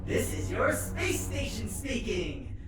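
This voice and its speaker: An actor voicing a mocking, menacing space station artificial intelligence with theatrical, deliberate delivery in an audio drama. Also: medium pitch, huge pitch swings. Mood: mock-cheerful menace. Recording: echoing, distant sound over music.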